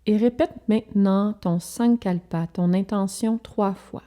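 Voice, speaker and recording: A woman leading a guided relaxation as a yoga instructor, speaking calmly and soothingly in short, measured phrases with pauses. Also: mid-range voice.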